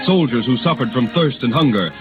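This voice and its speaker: A man's voice: mid-range voice, animated, a little fast, over music. Solemn 1930s radio narrator, tinny male voice. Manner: solemn, theatrical, formal delivery.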